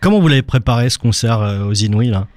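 A man's voice: medium pitch, expressive, fast talker, darkish tone, no echo. A man interviewing a singer, asking short prompting questions. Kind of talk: radio-style interview.

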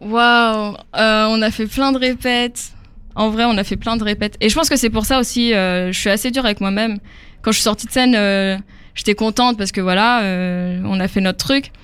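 Smooth-voiced young woman singer, halting. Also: mid-range voice, fairly even intonation, a bit bright, dry recording. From Africa. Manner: hesitant, many fillers, laughs easily. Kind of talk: casual interview answers.

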